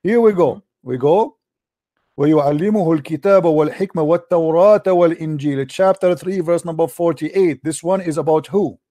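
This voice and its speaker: A man's voice: a little high, fast, mellow, no room sound, studio quality. A man hosting a live religious debate, arguing insistently, often repeating himself and quoting Arabic scripture.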